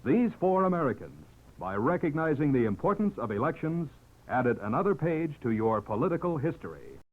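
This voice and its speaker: A man's voice: on the high side, very animated, normal speed. A man reading an earnest scripted public service message in 1950s radio style. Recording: narrow-band vintage radio recording.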